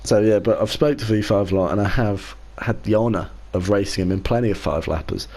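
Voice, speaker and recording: Low-voiced man chatting casually on a podcast. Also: brisk, darkish tone. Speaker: podcast co-host and league racer.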